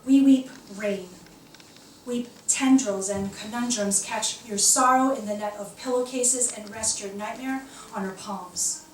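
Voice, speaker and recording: A woman's voice: fairly high pitch, unhurried. A woman poet reading her own work aloud at a live poetry reading. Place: indoor poetry reading, recorded from a distance. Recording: echoey room, distant mic, faint noise.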